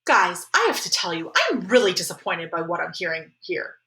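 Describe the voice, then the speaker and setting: A woman's voice: on the low side, big pitch swings, normal speed, sharp tone, exaggerated voice. A woman voicing a firm, caring mother who talks earnestly to her boys and scolds, in a story reading. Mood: stern, disappointed. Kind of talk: audiobook character dialogue.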